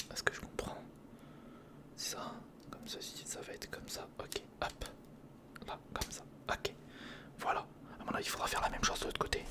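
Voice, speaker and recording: A man whispering in a breathy, crackly voice, casually murmuring a step-by-step ASMR commentary as he thinks aloud. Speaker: ASMR video creator.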